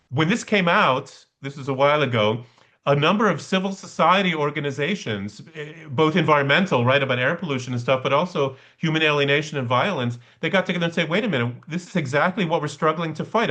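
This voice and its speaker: A man's voice: a little high, animated, quick pace, fairly bright tone. A man leading a study session in a conversational, explanatory way, often checking in with a short questioning tag. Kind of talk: conversational seminar teaching. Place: online class over video call. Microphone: video call.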